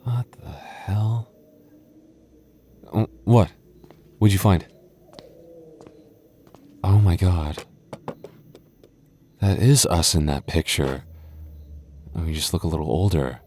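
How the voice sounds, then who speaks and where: A man voicing an affectionate, teasing young goth boyfriend in an ASMR roleplay, talking softly and intimately. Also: deep voice, takes it slowly, pauses often, a little hiss or hum. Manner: soft, intimate, playfully teasing. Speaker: voice actor.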